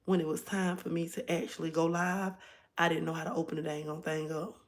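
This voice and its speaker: A hoarse woman hosting a casual livestream from home, chatting directly to her viewers. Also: low voice, level tone, fairly quick. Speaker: livestream host.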